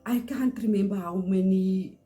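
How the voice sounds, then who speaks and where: A middle-aged woman from South Africa, Afrikaans-speaking, with a South African accent, telling a personal story in earnest, pausing often. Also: medium pitch, dark tone, faint music behind. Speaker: channel host. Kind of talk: personal testimony to camera.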